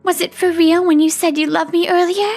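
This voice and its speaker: A woman's voice: extremely high pitch, fairly even intonation, a little fast, darkish tone, faint music behind. Woman voicing a tough delinquent gang girl. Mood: tender. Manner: blunt, streetwise, tough talk. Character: tough delinquent schoolgirl gang chief, brash, secretly smitten. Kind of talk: manga voice-over acting.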